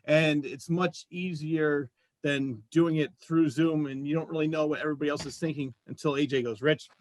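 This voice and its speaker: Guy cohosting a chatty interview, voice a bit high, gushing and appreciative, dry sound no room. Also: fairly even intonation, moderate pace, dry recording. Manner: effusive, appreciative. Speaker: show co-host and voice actor. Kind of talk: casual interview chat.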